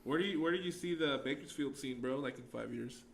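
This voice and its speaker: A man's voice: mid-range voice, brisk, creaky, a little hiss or hum, low-quality recording. A young man hosting a casual podcast chat, easygoing and slangy, often ending lines with "bro.". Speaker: podcast host.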